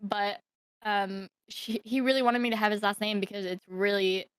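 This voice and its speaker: A woman's voice: mid-range voice, fairly even intonation, normal speed, clear, resonant tone, dry recording. A young woman from Thailand telling her life story as a podcast guest, hesitant, with many fillers and restarts. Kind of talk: casual interview storytelling.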